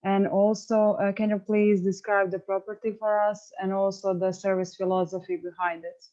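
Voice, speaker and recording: Hesitant woman interviewer, flat dark tone. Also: mid-range voice, normal speed, dull audio. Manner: hesitant, frequent uh fillers. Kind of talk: interview questions.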